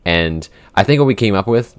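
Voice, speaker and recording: A man's voice: fairly low pitch, animated, normal speed, clean tone. A man, one of a podcast's creators, chatting informally and thinking aloud in loosely phrased, unscripted answers. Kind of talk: casual unscripted behind-the-scenes chat.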